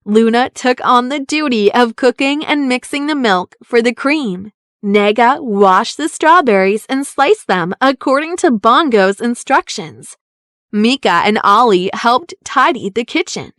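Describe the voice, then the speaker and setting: Slow, high-pitched woman reading a children's story. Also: no echo, studio quality. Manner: expressive, deliberate storytelling. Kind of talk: children's story read-aloud narration.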